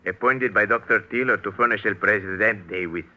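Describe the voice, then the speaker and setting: Brief: suave courtly aristocrat, very deep and sharp-edged voice, old radio play. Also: male voice, fairly quick. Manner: courtly, smooth, formal. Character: smooth suave gracious male aristocrat.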